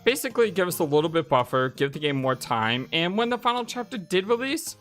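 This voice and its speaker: Very animated let's play host. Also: mid-range voice, a little fast, soft background music. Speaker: host of a gaming channel. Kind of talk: blind let's play commentary, casual chat and reading dialogue aloud.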